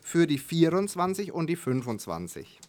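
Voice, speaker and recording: A man's voice: fairly high pitch, sing-song, moderate pace, a little mellow. A man co-hosting a live broadcast, announcing in a casual, chatty way. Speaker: co-host announcing a parade draw.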